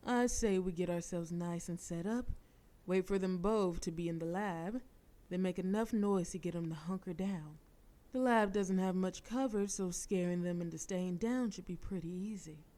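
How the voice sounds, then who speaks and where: Woman playing a smug flirty mafia boss lady, warm low-key tone, bossy and teasing. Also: moderate pace, lightly acted. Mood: cocky, teasing. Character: cocky, flirtatious female mafia boss, ruthless planner. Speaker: audio roleplay performer. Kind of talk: scripted audio roleplay.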